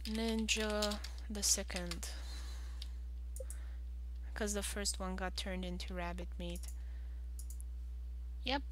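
Slow-paced woman narrating gameplay. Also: mid-range voice, steady tone, a little hiss or hum. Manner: hesitant, thinking aloud. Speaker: gaming video host. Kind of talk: casual game walkthrough commentary.

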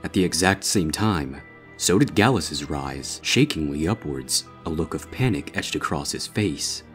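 A man narrates scripted horror fiction in a very low register. Faint music runs beneath the reading. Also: lively intonation, a little slow.

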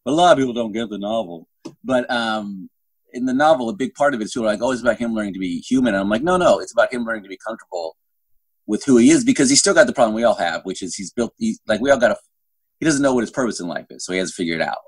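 A man's voice: lowish voice, lively intonation, very fast, breathy edge, dry recording, poor audio. A middle-aged man chatting casually as an interview guest, rambling and often restarting his sentences mid-thought. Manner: rambling, self-interrupting with repeated false starts. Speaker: guest novelist. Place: indoor room at home. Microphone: phone at arm's length on a video call.

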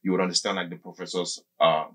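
Male guest, thirties, studio interview. Deep-set husky voice, casual and explanatory, halting with plenty of filler words. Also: little pitch movement, pushed and bright. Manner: halting, often says "like" and circles back. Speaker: podcast guest. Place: small indoor podcast studio. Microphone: desk microphone close up, with headphones.

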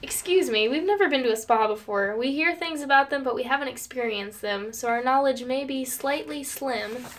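Woman as high school girl, high pitch, prim, excitable, audio drama. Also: moderate pace, few pauses, lightly acted. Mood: indignant.

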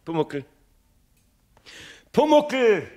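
A man playing a kindly, fussing older master carpenter with a Bavarian accent and a gravelly, husky voice, in a children's audio play. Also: high-pitched, very animated, very slow, mellow, heavily acted. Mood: scolding, relieved. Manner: homely, fussing, good-natured scolding.

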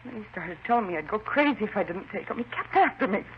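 A woman's voice: medium pitch, animated, fast, natural voice. Actress doing fragile fixated housewife losing her mind, 50s radio play, dull recording w some noise. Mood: fretful, insistent. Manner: fretful, fixated, repeats herself. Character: distraught, mentally unstable housewife confessing to killing her husband. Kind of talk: 1950s radio drama acting. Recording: muffled, noisy 1950s radio recording.

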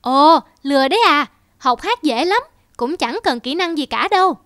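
A woman's voice: high-pitched, lively intonation, moderate pace, a bit bright, dry recording, clean studio sound. A woman voicing a small, self-assured singing cicada in a cartoon fable, breezy and frank. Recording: music under the voice.

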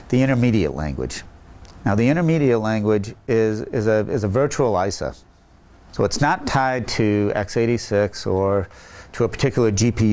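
Earnest man explaining tech, lower voice. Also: darkish tone. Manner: earnest, frequent restarts and repeated words. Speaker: chip company executive. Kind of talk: explaining technical concepts to camera. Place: indoor meeting room. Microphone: lapel mic.

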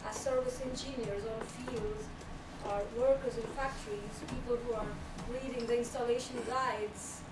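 A woman's voice: fairly high pitch, steady tone, moderate pace, hardly any pauses, recorded from a distance. Woman speaking at a meetup from afar. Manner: earnest, explanatory, laughs lightly at times. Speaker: technical writer giving a meetup talk. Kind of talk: presentation talk. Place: small room at a meetup. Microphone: far camera. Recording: echoey room, voice from afar, loud background noise.

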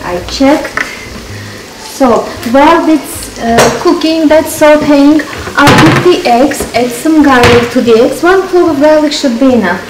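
Slow-paced woman cooking in echoey kitchen. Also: high-pitched, noisy background. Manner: unhurried, murmuring to self between instructions. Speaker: cooking show host. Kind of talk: casual cooking demonstration, talking while working. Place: home kitchen, indoors. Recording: roomy echoey kitchen, music behind. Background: music, clatter of dishes and pans.

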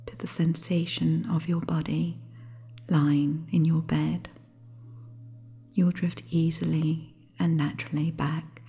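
A woman guiding a sleep meditation in a soothing, gentle, unhurried way, with a soft, breathy, half-whispered voice. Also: low-pitched. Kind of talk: guided sleep hypnosis meditation, scripted.

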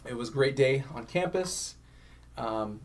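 A soft-voiced man chatting casually as a guest on a podcast. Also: medium pitch, expressive, unhurried. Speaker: podcast guest, campus ministry worker. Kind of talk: casual chat.

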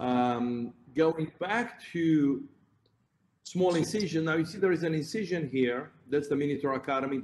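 A man's voice: mid-range voice, darkish tone. Romanian-accented man presenting surgery slides. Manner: explanatory, self-correcting, points at slides. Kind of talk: conference presentation. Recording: roomy echo, faint background noise.

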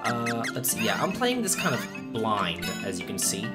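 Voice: mid-range voice, wildly swooping pitch, moderate pace. A chatty, playful let's play host giving casual, exclamatory commentary on a video game. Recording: game music behind the voice. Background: video game music.

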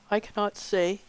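A game streamer giving casual live commentary, laughing often and correcting themselves, with a voice that is going hoarse. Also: mid-range voice.

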